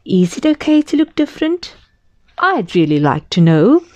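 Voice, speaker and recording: A woman's voice: mid-range voice, big pitch swings, moderate pace, mellow, no room sound, dull audio, voice pushed up, natural voice. A woman voicing a curious young boy full of questions in a read-aloud storybook. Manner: earnest, wondering, asks questions.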